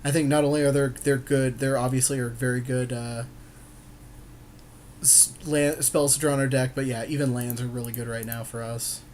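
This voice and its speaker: A man's voice: mid-range voice, steady tone, normal speed, a little mellow, slight background noise. Casual, agreeable man with a mid-range voice. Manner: agreeable, informal, slangy. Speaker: podcast co-host commentating a card game. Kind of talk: casual chat, game commentary.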